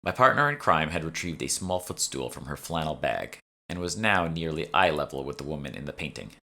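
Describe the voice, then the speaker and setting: A man's voice: extremely low pitch, sing-song, brisk, sharp tone. A man reading his own fantasy novel aloud as scripted first-person audiobook narration. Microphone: close USB studio microphone.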